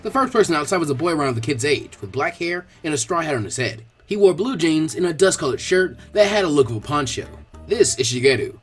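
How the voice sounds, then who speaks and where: A man reading fan fiction aloud in expressive scripted narration. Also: on the high side, quick pace, hardly any pauses, slightly rough, faint music behind.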